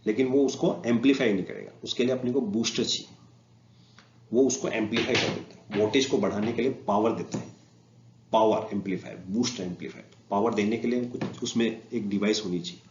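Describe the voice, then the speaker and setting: Indian man, lowish voice, instructor explaining slides informally, Hindi-English technical mix, faint background noise. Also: fairly quick, darkish tone. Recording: echoey room, muffled lo-fi, faint noise.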